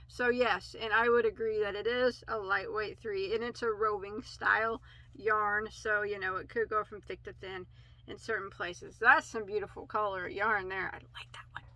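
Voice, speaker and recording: A woman's voice: mid-range voice, steady tone, moderate pace, sharp tone, dry recording, rough recording. A woman with a Midwestern American accent hosting a casual yarn haul review, chatty and rambling, often correcting herself. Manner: chatty, rambling, many fillers and self-corrections. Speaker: crochet channel host.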